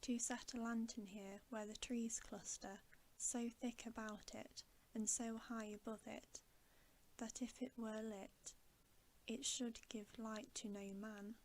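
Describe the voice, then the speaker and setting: A woman voicing a noble young king in a storybook, softly, with formal courtly phrasing. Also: a little high, level tone, takes it slowly, a little hiss or hum. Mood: courtly, wondering. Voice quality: soft, hushed. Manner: stately, archaic courtly phrasing.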